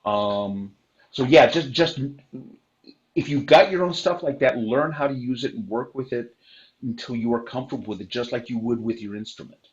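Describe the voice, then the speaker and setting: An older man sharing practical performing advice on an online convention panel, loud-voiced, with many fillers and restarts. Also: mid-range voice, moderate pace, lo-fi sound.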